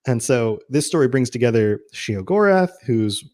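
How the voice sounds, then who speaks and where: A man's voice: medium pitch, lively intonation, normal speed, darkish tone. A man chatting informally and casually as a podcast host about game lore, often chuckling.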